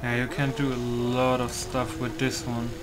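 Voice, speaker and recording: A man's voice: medium pitch, monotone, moderate pace. A man giving casual gameplay commentary, musing aloud and often asking himself questions. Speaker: gaming video host. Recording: music playing behind the voice. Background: music.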